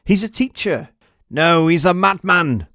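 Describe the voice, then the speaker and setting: A loud, excitable townsperson played by a male narrator calls out eagerly from an ancient crowd. The voice is pushed high and strong, recorded dry, in storybook style. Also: unhurried, no room sound. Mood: excited gossip. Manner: calling out eagerly.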